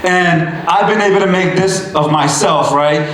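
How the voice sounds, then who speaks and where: A man's voice: fairly high pitch, measured pace, a little hiss or hum. Emphatic man in a very echoey hall. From Bangladesh. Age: 30s. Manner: emphatic, punchy repetition, sometimes shouting. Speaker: motivational speaker. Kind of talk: motivational stage speech. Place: event stage in a large hall.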